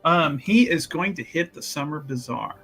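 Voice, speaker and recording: Male tabletop player, slightly high voice, improvising story casually with emphatic, repetitive asides, faint music behind. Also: normal speed. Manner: animated, emphatic, rambling and repetitive. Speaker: player in a tabletop roleplaying game.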